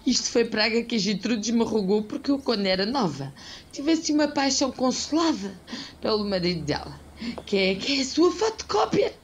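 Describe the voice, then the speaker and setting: Radio soap busybody played by a woman, older, European Portuguese, melodramatic scold, very animated pitch, some background noise. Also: normal speed. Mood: wounded, pleading. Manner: theatrical, indignant, plaintive. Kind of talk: old-fashioned radio soap acting.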